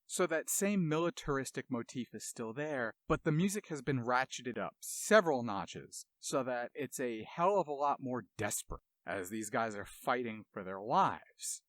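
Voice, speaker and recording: A man's voice: mid-range voice, lively intonation, moderate pace, few pauses, very noisy. A man hosting a game music show with enthusiastic, analytical commentary and dramatic storytelling.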